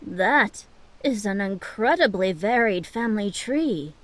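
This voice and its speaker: A woman's voice: mid-range voice, expressive, slow pace. An actress playing a polite, inquisitive older halfling merchant woman who muses as she talks, in a fantasy audio drama. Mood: curious, apologetic.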